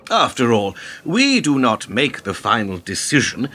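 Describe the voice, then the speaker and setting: A man's voice: mid-range voice, huge pitch swings, a little slow, sharp tone, slight background noise, heavily acted. An actor playing a lofty, worldly older businessman who lectures in formal phrases in a radio play. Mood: lofty, lecturing. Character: older worldly, self-justifying spin businessman, lofty and paternal.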